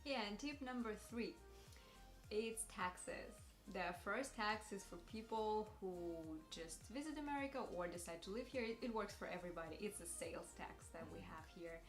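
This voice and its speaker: A woman's voice: medium pitch, normal speed, reverberant, faint music behind. A young woman from Russia with a Russian accent, co-hosting a casual advice vlog and explaining fluently. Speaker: vlog co-host.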